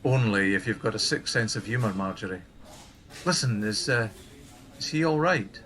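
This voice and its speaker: A man's voice: fairly low pitch, animated, moderate pace, fairly bright tone, a little hiss or hum. A middle-aged man playing a wry, inquisitive senior colleague at a helpline in a radio play, with a dry, probing manner. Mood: wry, casual. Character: wry, inquisitive older male colleague in charge.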